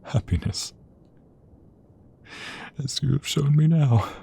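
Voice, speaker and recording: A man playing a tender, devoted king in a romantic ASMR audio roleplay, speaking intimately with a soft, breathy voice. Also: on the high side, exaggerated voice. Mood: moved, loving. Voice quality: breathy, soft, often whispered. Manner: slow, intimate, emotive delivery. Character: tender, devoted young king in love with his queen.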